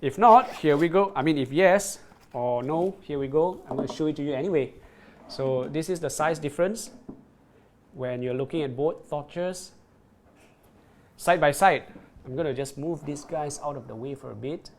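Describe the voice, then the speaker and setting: A man's voice: a little high, moderate pace, a little hiss or hum. A man presenting a product on a livestream, demonstrating it and reading viewer comments, often repeating words and checking in with "right?".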